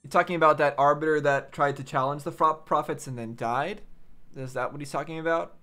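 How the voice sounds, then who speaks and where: A man's voice: fairly high pitch, steady tone, fairly quick, bright, forceful tone, soft background music. A man giving casual, off-the-cuff reaction commentary, musing aloud in a puzzled, questioning way. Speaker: reaction video host.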